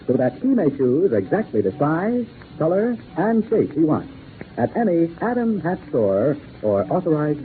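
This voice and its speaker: A tinny, faintly hissy vintage radio recording carries a male announcer with a fairly high, very lively voice. He delivers polished, emphatic sales copy in a 1940s commercial style. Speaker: sponsor announcer.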